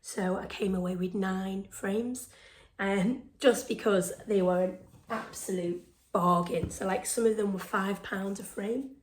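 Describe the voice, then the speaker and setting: A woman with a hoarse, failing voice hosts a casual show-and-tell vlog, chatting excitedly with lots of ums and phrases that drop into a breathy whisper. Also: medium pitch, unhurried, slight background noise, rough recording. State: hoarse, losing her voice. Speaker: stitching vlog host.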